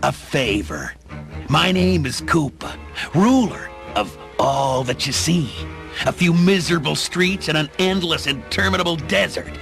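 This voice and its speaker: An actor playing a grandiose, scheming reptilian dictator in a radio play, theatrical and commanding. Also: male voice, fairly high pitch, lively intonation, a little slow, gravelly, a bit bright, faint music behind, exaggerated voice. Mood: smooth menace. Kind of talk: audio drama acting.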